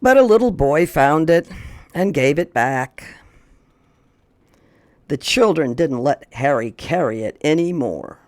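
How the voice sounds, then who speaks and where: Slow-paced man hosting children's storytime. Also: a little high, animated, no echo. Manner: folksy, repeats questions, talks aloud to companion. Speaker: storytime host reading aloud to children. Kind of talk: casual chat to young children and picture-book read-aloud.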